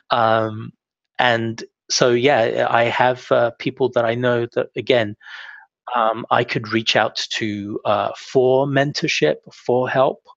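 Young guy being interviewed, laid back, kinda gravelly, dull dry audio. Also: medium pitch, no echo. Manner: relaxed, self-deprecating, many fillers. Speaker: guest. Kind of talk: casual interview talk.

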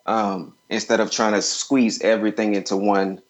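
A man giving casual, advisory interview answers as a filmmaker guest, haltingly, often repeating words. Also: lowish voice, little pitch movement.

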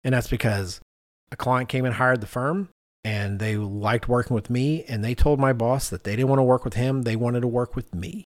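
A middle-aged man with a mid-pitched voice, recorded very dry and close. He talks casually as a podcast co-host, telling stories and slipping into quoted dialogue as he goes. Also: normal speed, no echo. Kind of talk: casual conversational storytelling.

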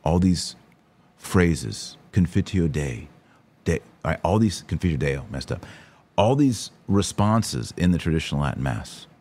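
Deep voiced guy doing a religious podcast, casual and slangy, talks right at the audience, pretty emphatic. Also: expressive, measured pace, darkish tone, slightly rough. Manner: informal, emphatic, slangy, direct address. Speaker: podcast host; online course teacher.

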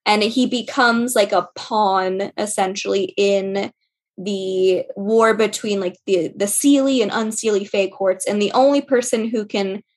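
A woman podcast host talking casually and a little haltingly about books. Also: medium pitch, fairly even intonation. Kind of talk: casual book chat.

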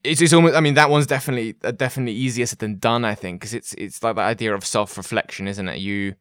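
Smooth-voiced man hosting casual chat. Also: fairly low pitch, quick pace, fairly bright tone, no room sound, clean studio sound. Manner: chatty, rambling, with many fillers and repeated words. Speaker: host.

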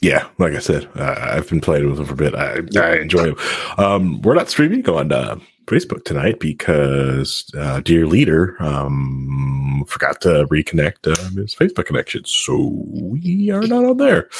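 A man's voice: rumbling low, big pitch swings, normal speed, breathy edge, no room sound, clean studio sound. A man hosting a tech talk show in casual banter, rambling and jokey, often laughing.

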